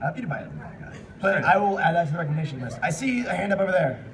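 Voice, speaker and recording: A man casually hosting a live convention panel, chatting in an animated, jokey, informal way. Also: on the high side, racing. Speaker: panel host. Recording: distant mic in noisy convention room.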